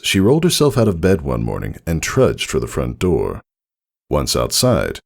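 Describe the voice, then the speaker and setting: A man's voice: low-pitched, vocal fry, a little mellow. A man narrating a scripted story in the style of an audiobook reading.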